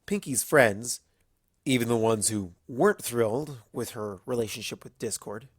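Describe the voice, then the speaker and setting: A narrator reading a fan-fiction story aloud in an expressive storybook style. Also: medium pitch, moderate pace, slightly rough, no room sound, studio quality.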